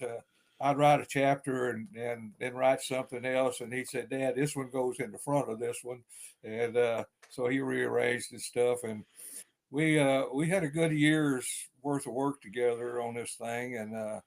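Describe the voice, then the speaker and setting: An older man with a deep East Texas accent, an author chatting as a guest in an interview, rambling with many fillers and restarts. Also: gravelly. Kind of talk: casual interview chat.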